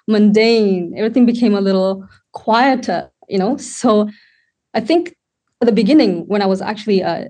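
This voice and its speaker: A young woman from Sri Lanka, a wedding photographer, talking casually as a guest and pausing often to find her words. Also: medium pitch, mellow. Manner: thoughtful, searching for words with many fillers. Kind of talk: casual podcast conversation.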